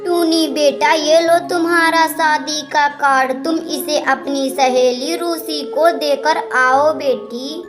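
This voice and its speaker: A woman voicing a gentle, practical mother bird in a cartoon, with a plain, worried manner. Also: sits high, fairly even intonation, slow pace, few pauses, over music. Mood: caring. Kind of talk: Hindi cartoon moral story acting.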